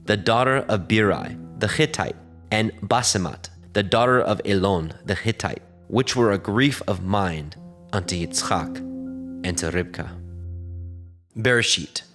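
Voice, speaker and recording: Low-voiced man narrating scripture over music. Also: fairly bright tone. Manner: measured, formal reading. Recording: music under the voice.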